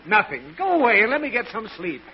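A frazzled, short-tempered dad in a vintage radio comedy. His high voice swings widely as he groans and sputters in exasperation. It is a muffled, noisy old recording with an audience. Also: very animated, fast talker, creaky, over a noisy crowd, heavily acted. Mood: exasperated. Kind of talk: 1940s radio comedy acting.

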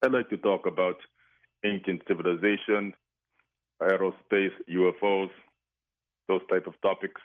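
A man's voice: sits low, steady tone, moderate pace, long pauses, close to an everyday voice. A man disguising himself with a put-on Greek accent, giving short, evasive answers as a mystery guest on a call-in radio show. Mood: coy. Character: male caller with a fake Greek accent. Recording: tinny phone line.

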